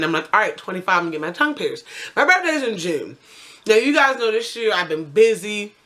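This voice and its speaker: A woman's voice: medium pitch, fairly bright tone. A woman in her twenties casually telling a rambling personal story to her camera, correcting herself as she goes. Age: 20s. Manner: chatty, rambling, self-correcting with frequent fillers. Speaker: vlogger.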